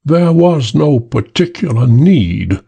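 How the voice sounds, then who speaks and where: A man voicing a short, stout, emotionless man with a British accent who speaks in a slow drawl. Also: medium pitch, big pitch swings, creaky, mellow, breathy edge, pitched above the performer's own voice, heavily acted. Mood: cold.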